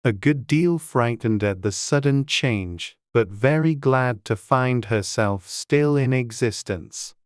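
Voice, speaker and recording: A woman reading scripted storybook narration in a measured storytelling manner. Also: rumbling low, a little mellow, no room sound, studio quality. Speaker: audiobook narrator.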